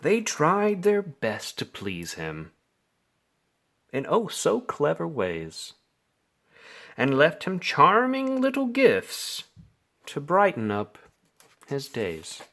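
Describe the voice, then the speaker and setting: A man reads a bedtime story to children at a very slow pace. His pitch swings in a lively way, and he stretches words out theatrically. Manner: deliberate, theatrical phrasing with drawn-out words. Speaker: storybook reader.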